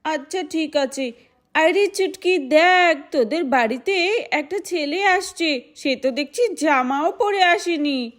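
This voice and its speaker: A woman's voice: high-pitched, expressive, slow pace, fairly bright tone, exaggerated voice. A woman voicing an eager, chatty little village girl doll with a childlike manner in a story.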